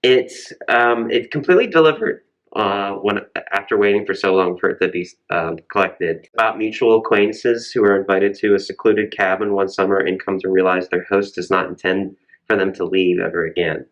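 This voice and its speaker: A man's voice: sits low, moderate pace, lo-fi sound. A man co-hosting a casual book recommendation chat. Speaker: library podcast co-host.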